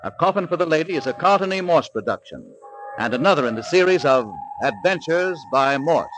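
A man's voice: fairly high pitch, expressive, a little fast, music in the background. A man announcing a 1940s radio drama in grand, formal scripted narration. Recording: noisy 1940s radio recording.

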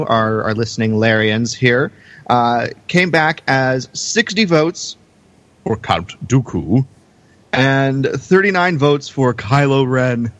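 A man's voice: mid-range voice, a bit bright, no room sound. Male podcast host, mid-range voice, conversational, often doubling back on his words. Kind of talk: casual podcast chat.

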